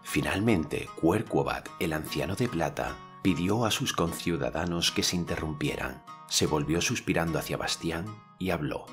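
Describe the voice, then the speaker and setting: A man's voice: a bass voice, animated, moderate pace, hardly any pauses, faint music behind. A man reading scripted literary narration as an audiobook narrator.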